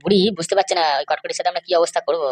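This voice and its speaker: A woman's voice: fairly low pitch, fairly even intonation, racing, close to an everyday voice. A woman voicing a sly, insistent male schemer and constable in an amateur comedy cartoon. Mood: scheming, gossipy.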